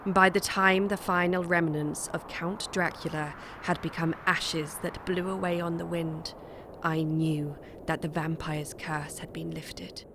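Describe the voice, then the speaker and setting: Woman as a low-voiced, earnest gothic heroine. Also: steady tone, unhurried, background noise. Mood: solemn narration. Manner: formal, earnest. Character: brave, devoted young wife narrating a gothic tale. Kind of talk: dramatic scripted narration. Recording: music behind the voice.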